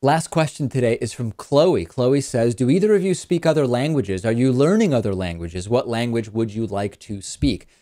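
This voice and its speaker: A man born in Argentina whose first language is Spanish, hosting a talk show in a relaxed conversational way and answering listener questions. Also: mid-range voice, normal speed, hardly any pauses. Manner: conversational, repeats words when thinking. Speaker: host. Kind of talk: casual chat, reading listener questions.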